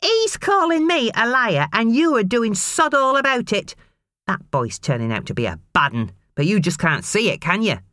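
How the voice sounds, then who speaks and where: A woman's voice: medium pitch, sing-song, moderate pace, no echo, clean studio sound, voice pushed up. A woman voicing a spiteful mother who scolds and rants at her son. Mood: scolding. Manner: sharp, scolding, ranting.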